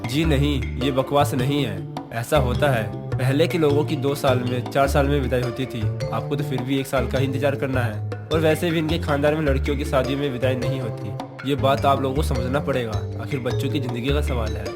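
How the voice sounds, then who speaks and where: A man's voice: medium pitch, steady tone, a little fast, few pauses, close to an everyday voice. A man playing a stern, matter-of-fact family priest in a story cartoon. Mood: firm. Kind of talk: Hindi story cartoon dialogue. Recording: loud music under voice.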